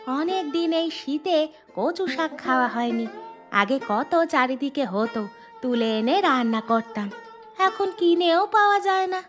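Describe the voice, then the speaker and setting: A woman's voice: high-pitched, expressive, unhurried, over music. A woman voicing a poor, plain-spoken young village housewife in a cartoon, chatty and plaintive. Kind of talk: Bengali moral-story cartoon dubbing.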